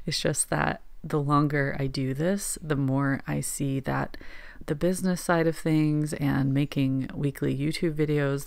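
A woman, a landscape painter, calmly narrating a reflective voice-over for her painting video. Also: deep voice, gravelly, no room sound.

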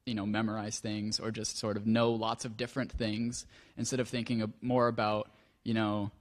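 Male panelist, even flat tone, halting thoughtful answer. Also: fairly low pitch. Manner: hesitant, many restarts. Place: lecture hall.

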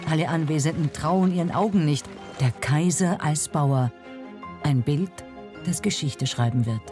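A man narrating a scripted historical documentary in a measured, dramatic manner. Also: a little high. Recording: music bed under voice.

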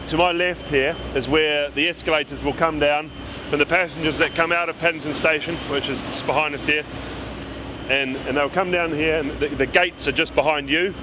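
Higher-pitched British man, noisy site. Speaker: construction project manager. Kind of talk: informal on-site project update to camera. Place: large underground station construction site. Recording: clear machinery and site noise behind.

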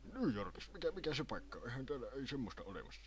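A man's voice: mid-range voice, sing-song, close to an everyday voice. A man voicing a grumpy, befuddled old man in an amateur anime fan dub, muttering and querulous.

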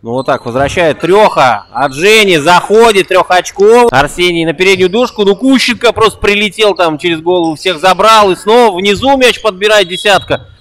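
A young man giving quick, excitable play-by-play commentary on an amateur basketball game, repeating a catchphrase. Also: high-pitched. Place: indoor basketball gym.

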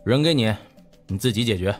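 A man's voice: on the low side, expressive, fairly quick, soft background music, close to an everyday voice. A man playing an arrogant, impatient gangster boss in an audiobook, curt and contemptuous.

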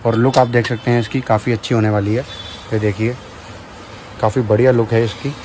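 A man walks viewers through a crowded shop, talking casually into a handheld phone camera. He keeps echoing the seller's answers back to his audience in a mid-pitched voice. A faint hum sits behind him. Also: little pitch movement, fairly quick, a little mellow. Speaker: vlogger. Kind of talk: casual shop-tour vlog. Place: crowded cooker shop, indoors.

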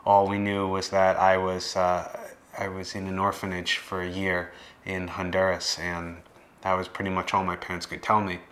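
An American man in his late twenties giving personal testimony to camera in a plain-spoken, measured way, with some hesitant phrasing. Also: low-pitched, completely flat delivery, a bit bright, slight background noise. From Boston area, United States. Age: late 20s. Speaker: documentary subject telling his life story. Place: living room, indoors.

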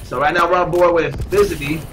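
A man's voice: on the high side, normal speed. Stuffy-nosed man commentating a shooter match. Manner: excitable, shout-outs to viewers, bursts of hype on kills. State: stuffed-up nose. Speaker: tournament commentator. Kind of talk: live esports commentary. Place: gaming tournament venue. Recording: noisy with game gunfire behind.

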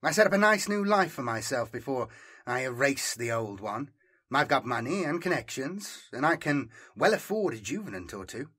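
A man's voice: mid-range voice, sing-song, moderate pace, sharp tone, husky, heavily acted. A man voicing a smug, self-assured male fugitive in an audiobook, superior and teasing in manner. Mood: confident, reassuring. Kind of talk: audiobook character dialogue.

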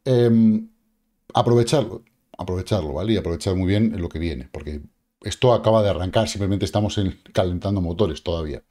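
Spanish guy in his 40s, warm lowish voice, casual market chat to camera, jokes around with viewers. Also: brisk. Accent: Spain Spanish. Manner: chatty, jokey, addresses viewers directly with asides and repeated "vale". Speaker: crypto market commentator. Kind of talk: casual explainer talking to camera. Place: small indoor studio with purple lighting.

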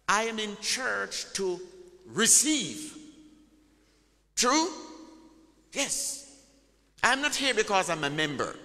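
A man's voice: high-pitched, sharp tone. Man preaching in a reverberant church. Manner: emphatic call-and-response preaching, drawing out key words. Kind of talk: sermon. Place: large church hall. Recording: long church hall reverb.

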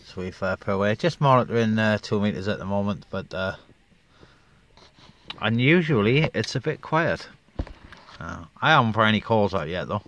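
A man chatting casually over CB radio from a parked car on open moorland, relaxed with lots of fillers. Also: on the low side, moderate pace, pauses often, dull audio, a little hiss or hum. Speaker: CB radio operator and vlogger. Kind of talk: casual on-air radio chat.

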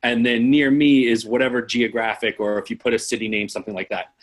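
A man in his forties with a slightly rough, husky voice at medium pitch. He explains casually as a guest on a video call, checking in as he goes. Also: flat delivery, fast talker. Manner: conversational, often checks with "right?". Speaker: guest marketing expert. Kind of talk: casual explanatory chat with anecdotes. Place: indoor room on a video call.

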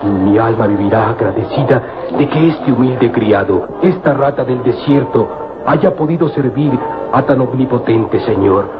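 A man's voice: mid-range voice, unhurried, close to an everyday voice. A man acts a fawning, superstitious desert servant from an old radio serial. He pleads and stammers in repeated, florid oaths. The recording is dull, with music running behind him. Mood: pleading.

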